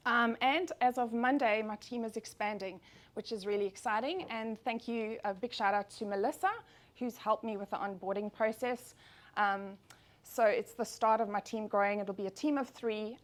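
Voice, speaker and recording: A woman's voice: medium pitch, moderate pace. South African woman giving a business presentation. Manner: upbeat, speaking with frequent ums. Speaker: travel agent presenting at a networking meeting.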